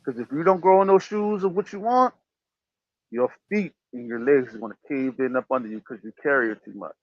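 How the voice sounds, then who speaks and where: A man's voice: mid-range voice, brisk, no echo. A man hosting a casual talk show, giving motivational advice in an emphatic, preachy way and repeating his phrases. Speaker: podcast host. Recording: dull lo-fi sound.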